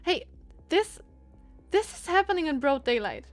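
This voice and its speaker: A woman voicing a hot-tempered female inventor from a visual novel, indignant and quick to stammer when flustered. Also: high voice, big pitch swings, pitched above the performer's own voice. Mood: nervous, flustered.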